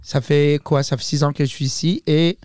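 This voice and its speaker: A young man hosts a relaxed panel chat. His voice sits a bit higher than most men's, and he talks in an enthusiastic, playful way. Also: normal speed, dry recording, studio quality. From Mauritania. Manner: enthusiastic, joking. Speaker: podcast host.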